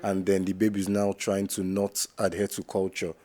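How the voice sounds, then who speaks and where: A man's voice: sits low, monotone, normal speed, dark tone. A Nigerian man co-hosting a casual podcast, explaining steadily. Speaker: podcast co-host.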